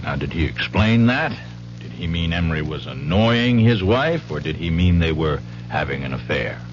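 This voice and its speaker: An actor playing a shrewd, folksy police detective in a 1970s radio mystery, probing with hesitant asides. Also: male voice, deep voice, expressive, moderate pace. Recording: muffled, noisy 1970s radio recording with hum.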